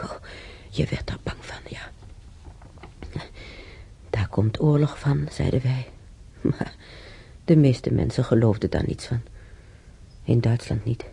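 Husky, gravelly older woman, brooding. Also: a bass voice, mellow, heavily acted. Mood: anxious, bitter. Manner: halting, musing to herself. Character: older woman, sorrowful, brooding, recalling war years. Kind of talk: radio play monologue, reminiscing.

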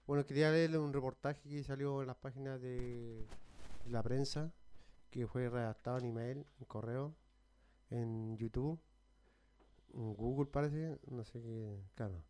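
A Chilean man speaking informally on amateur radio, haltingly reading aloud and repeating himself as he goes. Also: mid-range voice, fairly even intonation, dark tone, clean tone. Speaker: radio contributor reading a news report. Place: community radio programme at a psychiatric hospital.

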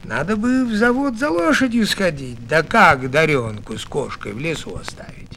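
A man's voice: fairly high pitch, sing-song, moderate pace, vocal fry, sharp tone, a little hiss or hum. A man narrating a folk tale expressively, in a folksy, theatrical storyteller's way.

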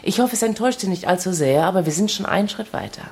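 An actress playing a wry, matter-of-fact police investigator in a radio drama. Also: female voice, mid-range voice, lively intonation, fast talker, darkish tone, husky. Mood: teasing. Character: dry, teasing female police investigator.

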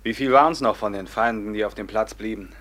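Man acting a forceful, tormented robber captain. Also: lowish voice, a little fast, lightly acted. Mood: grieving. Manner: theatrical declamation, swinging from hushed murmurs to ringing outbursts. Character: brooding, tormented robber captain, noble-born and commanding. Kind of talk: classic German radio play acting.

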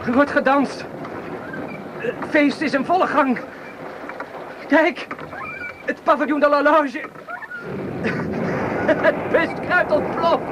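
Through a noisy old radio recording with water behind comes a wounded young male revolutionary. His voice is very high and slow, weary with pain. He speaks haltingly, then declaims with theatrical, feverish exaltation. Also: dull audio. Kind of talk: Dutch radio play acting.

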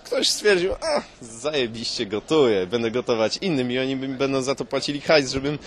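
A man's voice: mid-range voice, animated, normal speed, slight background noise. A man improvising comic stories as a mock expert guest, rambling in casual, slangy language full of swearing. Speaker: comic guest posing as a food history expert.

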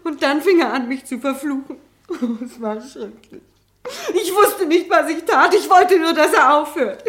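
Woman acting a distraught female witness, courtroom radio drama, high voice, emotional, defensive, emphatic. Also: moderate pace, lightly acted. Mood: anguished confession.

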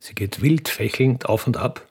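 A man's voice: mid-range voice, moderate pace, a little mellow, breathy edge. A man giving scripted narration for a radio play.

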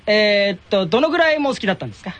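A man's voice: high-pitched, big pitch swings, rapid-fire, fairly bright tone, lo-fi sound. A man co-hosting a radio quiz in casual banter, chiming in agreeably with repeated short interjections. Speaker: radio show co-host.

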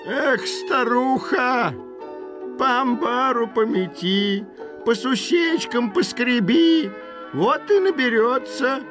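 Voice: mid-range voice, slow, pitched above the performer's own voice, close to an everyday voice. A storyteller putting on the voice of a coaxing old grandfather in a folk tale audio play. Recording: music playing behind.

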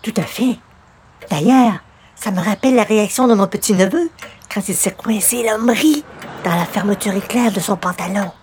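A woman's voice: mid-range voice, normal speed, darkish tone, a little hiss or hum, close to an everyday voice. A woman playing a pious, prim and insistent neighbour in a radio comedy, speaking formally. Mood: sententious. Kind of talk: old-time radio comedy acting.

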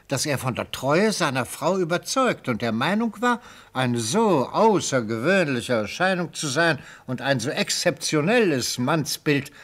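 Florid old prosecutor, swooping delivery. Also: male voice, on the high side, a bit bright. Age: older. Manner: theatrical, rhetorical, relishing every phrase. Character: gleeful retired prosecutor, florid, sly old orator. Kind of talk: German radio play acting.

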